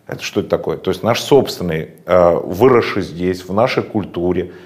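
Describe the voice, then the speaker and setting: A man's voice: low-pitched, moderate pace, darkish tone. A man giving long, emphatic, lecturing answers in a political interview, with frequent hesitation sounds. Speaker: interview guest.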